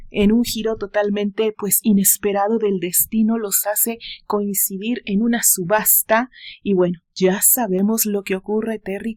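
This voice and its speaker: A woman's voice: mid-range voice, darkish tone. A woman with a Mexican accent, hosting and narrating a romance story in a dramatic reading style. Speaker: audiobook narrator and channel host.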